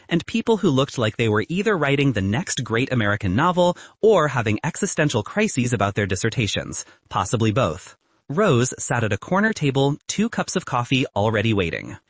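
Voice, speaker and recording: A man's voice: mid-range voice, big pitch swings, normal speed, no room sound. A man narrating scripted fiction as an audiobook reader.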